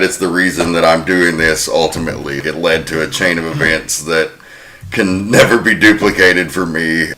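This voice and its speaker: A man hosting a podcast in casual chat, telling stories in a lively, profane way. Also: deep voice. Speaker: podcast host.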